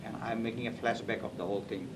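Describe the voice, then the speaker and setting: Man, lowish voice, halting speech with repeats, reminiscing interview, faraway mic. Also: steady tone. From Assam, India. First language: Assamese. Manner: halting, repeats and restarts words. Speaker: interviewee, author. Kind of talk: reminiscing oral history interview. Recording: distant mic, loud background noise.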